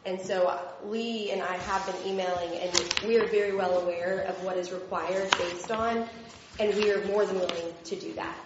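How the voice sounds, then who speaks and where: A woman's voice: mid-range voice, fairly even intonation, moderate pace, heavy background noise. Applicant, woman, eager to reassure, dull echoey recording. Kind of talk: responding at a public hearing. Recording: roomy, lo-fi recording with faint ambience.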